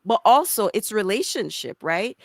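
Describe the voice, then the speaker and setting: Jamaican woman host, animated, patois slips, mid pitch. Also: moderate pace, no echo, clean studio sound. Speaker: podcast host. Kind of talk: conversational interview.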